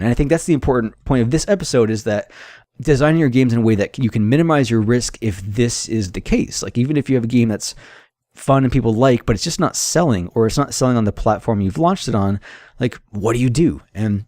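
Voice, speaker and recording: A man's voice: mid-range voice, warm tone, dry recording, studio quality. A man giving a casual, unscripted monologue of advice as a game developer, conversational and thinking aloud. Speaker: solo indie game developer hosting an audio log.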